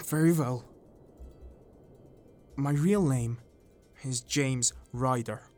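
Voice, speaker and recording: A woman's voice: rumbling low, drawn-out words, a little mellow, faint music behind, heavily acted. A woman voicing a weak, nervous little man in a dramatised audiobook, plaintive and easily agitated. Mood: uneasy.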